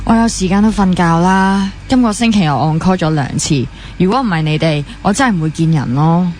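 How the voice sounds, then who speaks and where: A weary, ambitious young female junior doctor played by an actress in a radio drama. Her Hong Kong Cantonese is natural and matter-of-fact, fairly low for a woman, with some teasing and slight background noise. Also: fairly quick, darkish tone, close to an everyday voice. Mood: weary determined. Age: young adult.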